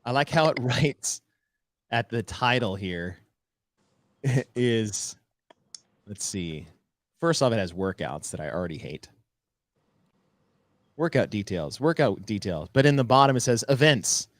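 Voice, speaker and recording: A man's voice: mid-range voice, expressive, measured pace, pauses often, no echo. A man co-hosting a casual podcast, teasing his partner and laughing often as he talks. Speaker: podcast co-host.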